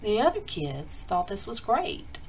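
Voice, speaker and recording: A woman reading a children's picture book aloud like a teacher, with deliberate, expressive storytelling and dramatic emphasis. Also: mid-range voice, a little hiss or hum, low-quality recording.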